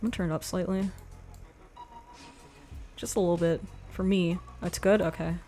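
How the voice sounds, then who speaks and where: A young woman with a quiet voice hosting a livestream, reading chat and chatting frankly in a rambling way, with lots of "like". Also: lowish voice, fairly even intonation, normal speed, soft background music. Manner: rambling, frank, laughs often, says "like" a lot. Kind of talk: casual chat.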